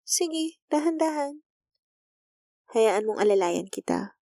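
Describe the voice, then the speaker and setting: Woman playing a slow, gentle Filipino school nurse, teasing. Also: fairly high pitch, animated, dry recording, studio quality. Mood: caring, gentle. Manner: soft, intimate, teasing, coaxing. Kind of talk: romantic ASMR roleplay.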